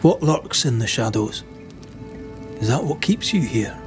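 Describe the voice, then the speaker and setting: Casting: male actor as probing, compassionate banisher in a period game drama. Middle register, measured old-fashioned speech, steady temper. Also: medium pitch, music in the background, natural voice. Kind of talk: dramatic video game cutscene acting.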